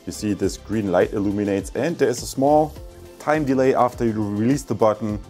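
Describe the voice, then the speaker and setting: A man's voice: fairly low pitch, normal speed, mellow, soft background music. A man giving a casual product review as a vlogger.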